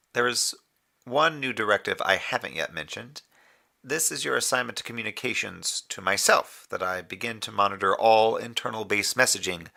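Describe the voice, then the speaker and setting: A moon base communications officer in an audio drama, a man with a very low voice and a crisp, forceful edge. He delivers official reports in measured, formal phrases. A dry, sardonic streak runs under the dutiful manner. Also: heavily acted. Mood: restrained, pointed. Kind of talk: scripted audio drama monologue as a radio report.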